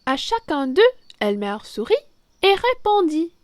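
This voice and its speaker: A woman reading a children's picture book aloud, deliberate and theatrical, stressing key words. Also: sits high, sing-song, dry recording. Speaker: children's story reader, French teacher.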